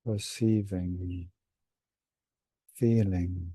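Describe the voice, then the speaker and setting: A man leading a guided meditation in a hushed, unhurried manner with long pauses between short phrases. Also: low-pitched, fairly even intonation, slightly rough, no room sound.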